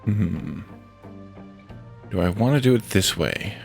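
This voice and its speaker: A man with a somewhat low voice and a stuffy, congested sound, talking through a factory game as he builds. He thinks aloud and mutters to himself in an easy, casual way, while faint game music plays underneath. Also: expressive, slow, creaky, darkish tone. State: congested from a head cold. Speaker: streamer. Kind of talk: casual let's-play commentary.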